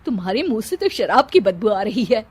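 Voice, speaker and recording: A woman's voice: mid-range voice, big pitch swings, a little fast, noisy background, natural voice. A woman voicing a frank, plain-spoken middle-aged matron in a cartoon, with a pointed manner. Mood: disgusted, reproachful. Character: frank middle-aged women, mothers and friends, disapproving. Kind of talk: Hindi cartoon voice acting.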